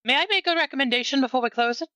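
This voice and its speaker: Very bright-voiced woman, dry sound. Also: high voice, rapid-fire, smooth, no echo. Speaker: player in a tabletop game. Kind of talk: casual in-character roleplay.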